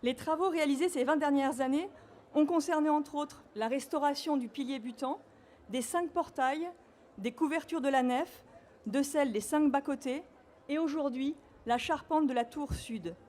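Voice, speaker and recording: A woman from Normandy giving a measured, solemn official speech at a ceremony. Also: high voice, a little mellow, a little hiss or hum. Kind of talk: formal read speech.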